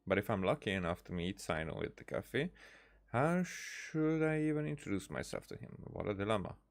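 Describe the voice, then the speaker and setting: A man's voice: fairly low pitch, very animated, normal speed, vocal fry. A man doing casual let's-play commentary, reading game dialogue aloud in a hesitant way with many "uh"s and restarts.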